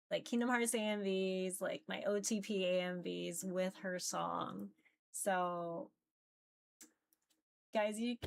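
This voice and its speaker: A woman chatting casually to viewers as a livestreamer, informal and rambling, with a giggly manner. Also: mid-range voice. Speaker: visual novel streamer.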